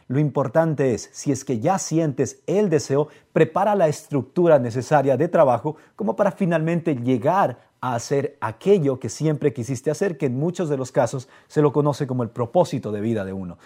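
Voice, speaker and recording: A man's voice: fairly high pitch, mellow. A man hosting a live motivational podcast, speaking earnestly and directly to the viewers. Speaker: podcast host.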